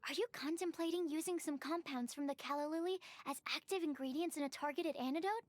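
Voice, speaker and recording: A woman's voice: high voice, fast talker, a little hiss or hum, lightly acted. A woman playing a shy, earnest young female alchemist in an anime-style game, polite and slightly hesitant. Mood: curious.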